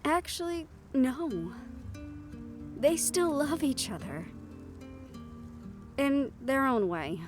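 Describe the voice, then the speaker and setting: A high, girlish-leaning young siren-banshee played by a woman speaks intimately, as in a roleplay audio drama. She is affectionate, wry and playfully teasing, telling things conversationally. Guitar music plays behind her. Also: very animated, slow, long pauses, heavily acted. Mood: wry, amused. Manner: soft-hearted, teasing, conversational storytelling.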